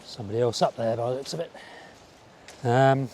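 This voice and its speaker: A man with a slightly croaky voice from a cold, chatting casually and musing aloud as he walks along outdoors. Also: mid-range voice, a little fast, noisy background. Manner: rambling, musing aloud to the viewer. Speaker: vlogger. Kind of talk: casual outdoor walking vlog chat. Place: outdoors, walking by a village road and seafront. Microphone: small wireless clip-on mic.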